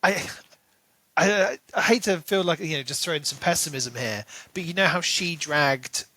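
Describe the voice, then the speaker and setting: A man's voice: fairly high pitch, normal speed, sharp tone. A man co-hosting a podcast, talking casually as he discusses a book. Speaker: podcast co-host.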